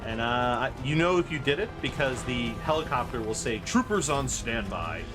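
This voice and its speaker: A man's voice: medium pitch, very animated, normal speed. A man giving casual, playful live gameplay commentary as a speedrunner, with game music behind him. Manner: playful, chatty, theatrical asides. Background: game music and sound effects.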